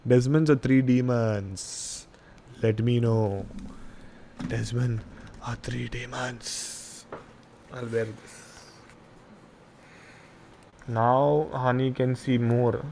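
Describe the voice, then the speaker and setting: A young man with an Indian English accent hosts a late-night live stream while a little tipsy. He rambles, laughs easily and reads the chat aloud in a casual, loose way. His voice sits at an ordinary middle pitch for a man. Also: warm tone, a little hiss or hum. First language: not English. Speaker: podcast host.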